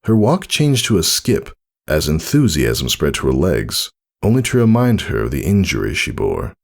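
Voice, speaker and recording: A man narrates a story from a script. His voice is low, and its melody moves widely as he tells it. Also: wildly swooping pitch, moderate pace, gravelly. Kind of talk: scripted fan fiction narration.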